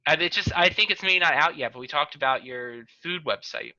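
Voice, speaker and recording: A man with a piercingly bright, fairly high voice rambles and jokes as a podcast host. He trails off mid-sentence often. The sound is dry and a little muffled, like video-call audio. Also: fairly quick, dry recording. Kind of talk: casual chat on a video call.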